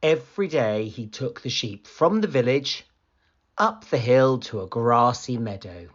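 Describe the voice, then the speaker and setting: A woman reading a picture book aloud for children in an animated, deliberate storytelling manner. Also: rumbling low, lo-fi sound.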